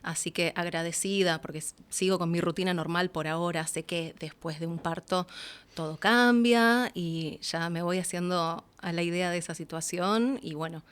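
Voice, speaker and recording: Young Argentine woman, conversational and expressive. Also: fairly low pitch, moderate pace, smooth. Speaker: interview guest, voice actress and announcer. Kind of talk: casual conversational interview.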